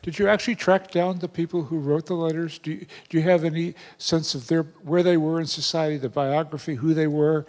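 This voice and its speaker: Man as audience questioner, somewhat high pitch, informal phrasing, distant and reverberant in a hall. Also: fairly even intonation, a little fast, hardly any pauses, darkish tone. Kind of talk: asking an informal question at a public event. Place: large indoor event hall.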